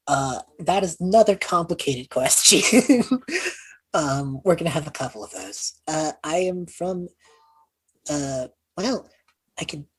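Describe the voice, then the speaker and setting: A woman playing an awkward, sheepish young male mage student lost in time, husky and halting, in a tabletop game. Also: low-pitched, a bit bright, exaggerated voice. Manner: halting, evasive, rambling.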